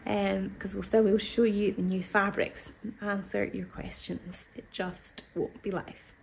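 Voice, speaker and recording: A woman in her thirties hosting a live sewing question session from her fabric shop, chatting casually with lots of ums and restarts, her voice a little hoarse from a cold. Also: medium pitch, flat delivery, moderate pace, slight background noise, poor audio. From United Kingdom. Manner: chatty, thinks aloud with many ums and restarts. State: slightly hoarse with an oncoming cold. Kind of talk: casual live-stream chat answering viewer questions. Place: fabric shop floor with fabric bolts and dress forms, indoors. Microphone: phone or webcam at desk distance.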